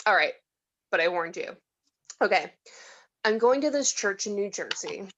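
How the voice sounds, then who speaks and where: A woman's voice: mid-range voice, animated, normal speed, fairly bright tone, slight background noise, lightly acted. A woman acting a tipsy, disillusioned woman who rambles and giggles in a read-through of a film script. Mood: giggly, incredulous. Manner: rambling, slightly slurred, giggly.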